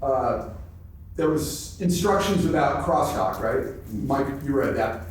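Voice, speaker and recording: A man's voice: medium pitch, lively intonation, slow pace. A man sharing informally in a group discussion, conversational and hesitant, often repeating his phrases. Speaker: twelve-step meeting member sharing. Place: large echoey indoor convention room. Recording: echoey room, distant mic, faint noise.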